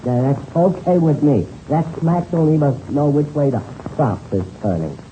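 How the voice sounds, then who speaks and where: Folksy, suspicious American grease monkey played by a man, very soft dark tone, swooping stammer, old radio drama. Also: fairly high pitch, lively intonation, normal speed, highs cut off, close to an everyday voice. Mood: grumbling, suspicious. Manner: stammering, repeats words, slangy ungrammatical speech. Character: folksy, grumbling, suspicious male airplane mechanic. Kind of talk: 1930s radio serial acting. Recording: hissy old-time radio recording.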